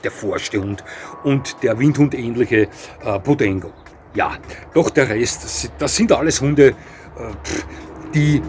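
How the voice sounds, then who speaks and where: A man's voice: medium pitch, a little mellow, a little hiss or hum. A man giving spoken commentary, frank and matter-of-fact, with many fillers and self-corrections. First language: not Portuguese. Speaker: dog breed commentator. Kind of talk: spoken commentary on a breed ranking.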